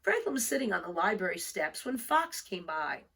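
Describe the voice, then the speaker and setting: A woman reading a picture book aloud for young children, expressive and deliberate, with storytelling emphasis. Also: fairly low pitch, vocal fry, breathy edge. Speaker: storybook reader.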